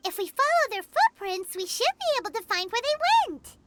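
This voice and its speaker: A tiny, bossy fairy sidekick from a video game. The voice is extremely high and squeaky, swinging wildly with childlike eagerness, over loud music. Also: female voice, big pitch swings, a little fast. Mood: excited, eager. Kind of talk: animated video game voice acting.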